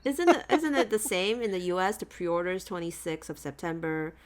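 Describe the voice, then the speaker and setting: A woman's voice: fairly low pitch, a little fast, a crowd in the background. A woman whose first language is Chinese, co-hosting a casual chat and laughing often. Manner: giggly, animated, excitable. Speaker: podcast co-host.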